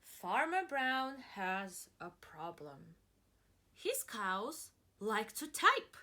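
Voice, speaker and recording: Bright, very lively woman reading to young children. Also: on the high side. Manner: slow, deliberate and dramatic, stretching words, pausing to ask questions; encouraging, praising and addressing listeners as friends. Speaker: preschool teacher reading aloud. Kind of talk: interactive picture-book read-aloud for young children.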